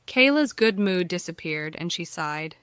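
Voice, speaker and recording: A woman's voice: lowish voice, lively intonation, measured pace, fairly bright tone, clear, resonant tone, no room sound, studio quality. A woman reading scripted fiction narration for an audiobook.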